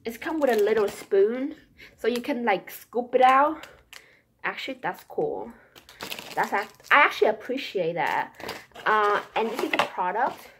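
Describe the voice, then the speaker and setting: A woman's voice: fairly high pitch, expressive, measured pace, fairly bright tone, a little hiss or hum. A young woman from Vietnam with a Vietnamese accent, chatting casually and ramblingly to camera in a room at home, as in a shopping haul vlog. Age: young adult. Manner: chatty, rambling, filler-heavy. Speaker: vlogger. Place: small quiet room at home.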